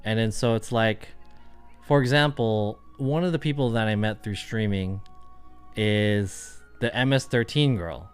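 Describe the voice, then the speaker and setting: Guy streaming, kinda low voice, rambles and thinks out loud, soft music in the back. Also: measured pace, clear, resonant tone. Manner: rambling, thinking aloud with many fillers. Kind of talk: casual livestream chat.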